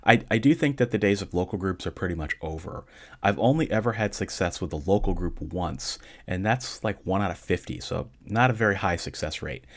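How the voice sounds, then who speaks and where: A man with a notably low voice hosts his own show and talks listeners through their questions. He keeps a calm, measured pace and delivers dry jokes completely deadpan. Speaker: podcast host answering listener questions. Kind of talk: casual solo podcast talk.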